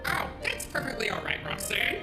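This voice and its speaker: A man's voice: high voice, huge pitch swings, moderate pace. A man voicing a fretful, caring animatronic daycare jester in an earnest, theatrical way for a fan cartoon dub. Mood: upbeat, helpful. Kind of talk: fan comic dub acting. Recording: echoey, with loud music.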